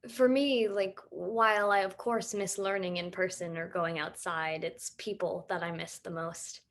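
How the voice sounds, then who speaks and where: A woman's voice: fairly low pitch, steady tone, unhurried. A woman playing an earnest student who leads a casual discussion in a documentary play. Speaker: student interviewer.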